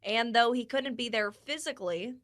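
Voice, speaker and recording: Higher-pitched woman reporting the news. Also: moderate pace, a bit bright. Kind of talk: scripted news report narration.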